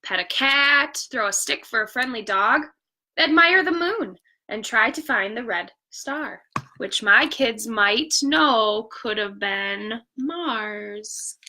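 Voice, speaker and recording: A woman reads a children's bedtime story in a fairly high, bright voice. She takes her time, stretching key words for emphasis and speaking directly to her young audience. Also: lively intonation, slow pace. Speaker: picture-book reader. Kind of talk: bedtime story read-aloud with asides to viewers.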